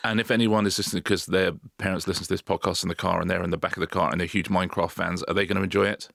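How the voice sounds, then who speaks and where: A middle-aged English man co-hosts a podcast in quick, joking chat, laughing often. His voice sits very low and gravelly. The sound is dry and close to the mic. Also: fairly even intonation, a bit bright, dry recording. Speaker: podcast co-host. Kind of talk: casual chat.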